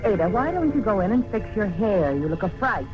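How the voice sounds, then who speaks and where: A woman playing a dramatic, bickering daughter in a 1950s radio drama, theatrical and sharp-tongued. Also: medium pitch, big pitch swings, brisk, dull audio, close to an everyday voice. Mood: catty. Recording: band-limited old radio recording with music.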